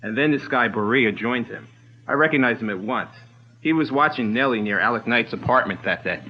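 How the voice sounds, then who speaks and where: A man's voice: mid-range voice, fairly quick, natural voice. A man playing an eager, loyal sidekick in a 1940s-style radio drama, talking in a conversational way. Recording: dull lo-fi recording with loud hiss.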